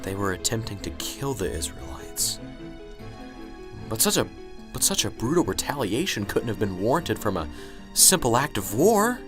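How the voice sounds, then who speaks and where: Man acting nervous, hesitant professor, animated pitch. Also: moderate pace, music in the background. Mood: pensive, troubled. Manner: hesitant, muttering to himself, stammering. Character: nervous, unsure, bookish male professor, anxious and brooding. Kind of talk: amateur radio drama acting.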